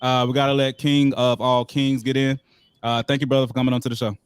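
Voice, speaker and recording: A man's voice: mid-range voice, level tone, fast talker, smooth, no room sound. A young man hosting a live show, giving blunt, profane and joking critiques. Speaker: show host.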